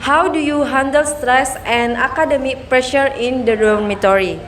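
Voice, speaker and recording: High-voiced young woman hosting. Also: normal speed, noisy background. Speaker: talk show host. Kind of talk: student talk show hosting.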